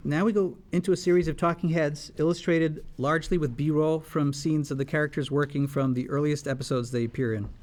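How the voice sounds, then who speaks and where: A man's voice: on the high side, fairly even intonation, a little fast, few pauses, a little mellow. A man reading script stage directions aloud as narration at a table read.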